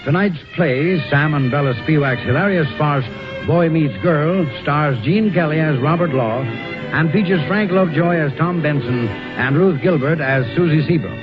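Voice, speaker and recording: A man's voice: fairly high pitch, normal speed. A man announcing a radio play in formal 1940s broadcast style. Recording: dull old radio recording. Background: music.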